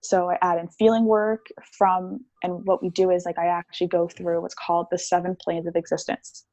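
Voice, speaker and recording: A woman's voice: fairly low pitch, level tone, normal speed, darkish tone, no room sound, rough recording. A young woman talking as a podcast guest about her healing practice, chatty and casual, with many fillers. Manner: chatty, explains with lots of "like" and "um".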